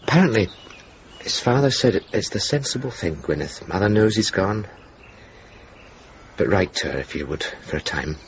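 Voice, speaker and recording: Earnest young airman, low and expressive. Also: normal speed. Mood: tender, reflective. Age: young adult. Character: earnest, hesitant young RAF officer, newly engaged. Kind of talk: naturalistic radio drama dialogue. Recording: dull, hissy 1980s radio broadcast.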